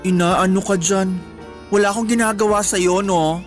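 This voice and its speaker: A woman's voice: mid-range voice, fairly even intonation, moderate pace, soft background music, lightly acted. A woman playing a nosy, joking close companion, with exclamatory, mock-exasperated banter in a casual radio drama. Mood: teasing.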